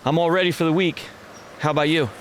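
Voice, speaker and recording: A man's voice: fairly high pitch, steady tone, quick pace, a little hiss or hum, close to an everyday voice. Man acting a practical, easygoing traveller. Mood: matter-of-fact. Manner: matter-of-fact. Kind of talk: audio drama acting.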